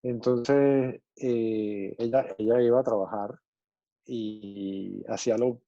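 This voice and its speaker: Mellow Venezuelan man, forties, relaxed guest storyteller, dull call audio. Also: medium pitch, no room sound. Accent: Venezuelan Spanish. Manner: relaxed, many fillers and pauses. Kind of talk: casual storytelling on a video call. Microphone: video call. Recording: muffled video call audio.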